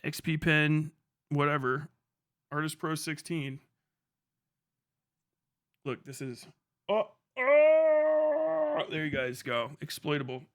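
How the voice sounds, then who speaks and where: A man's voice: fairly high pitch, lively intonation, slow pace, long pauses, no room sound, clean studio sound. Profane, rambling male streamer. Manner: rambling, profane, laughs often. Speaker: livestreamer reading chat. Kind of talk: casual livestream chat.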